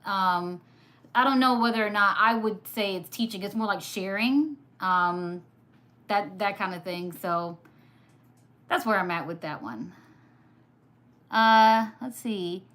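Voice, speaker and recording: A woman from Sudan whose first language was Arabic, hosting a casual live stream, chatty and quick to laugh while reading comments. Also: medium pitch, a bit bright. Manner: chatty, laughs often, teasing. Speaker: livestream host.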